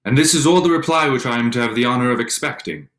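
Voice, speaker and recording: An actor playing a proud, stiff Regency gentleman and awkward suitor in a staged reading, with formal, halting delivery. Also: medium pitch, natural voice. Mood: wounded, offended. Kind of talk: staged reading of a period drama. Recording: echoey room over online call.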